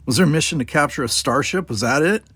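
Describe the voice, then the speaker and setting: A man's voice: on the high side, animated, fast, fairly bright tone, slightly rough, no echo. A man reading dramatic first-person scripted narration as an audiobook narrator.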